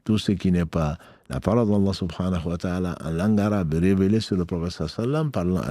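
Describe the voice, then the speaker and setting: A man in a dry, close-miked studio answers listeners on a live call-in program. His low, dark voice has a slightly husky grain. He talks familiarly and repeats his key phrases. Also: dry recording. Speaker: imam answering listeners' questions. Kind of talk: live radio question-and-answer on religious practice.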